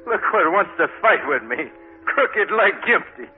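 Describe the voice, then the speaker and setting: A man's voice: sits high, big pitch swings, slow, faint music behind, full character voice. A swaggering, sneering New gangster in a 1940s radio play. He is menacing and mocking, heard through a tinny, narrow old broadcast recording. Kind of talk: 1940s radio drama acting.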